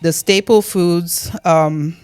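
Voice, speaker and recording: A woman's voice: lowish voice, fairly even intonation, takes it slowly, a little mellow, smooth, dry recording. A middle-aged woman from Cameroon, a podcast guest talking in an easygoing, hesitant way with frequent ums and light laughs. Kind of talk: casual interview chat.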